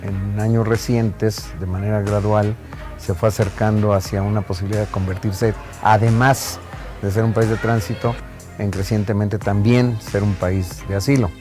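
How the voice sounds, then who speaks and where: A man gives expert analysis in a measured, academic tone. His voice is low and very flat in pitch, and music plays clearly behind it. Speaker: expert interviewee.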